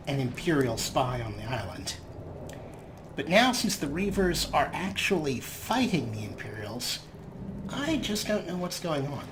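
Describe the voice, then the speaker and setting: Let's play host, middle pitch, casual commentary, wry, noisy game ambience.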